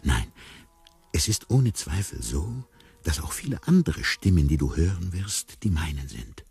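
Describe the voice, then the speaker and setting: An actor playing an enigmatic circus tiger in a radio play, musing on who he is in a halting, deliberate way. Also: deep voice, very animated, vocal fry, darkish tone, slightly rough, faint music behind, full character voice. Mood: musing, mysterious. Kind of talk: radio play narration addressed to the listener.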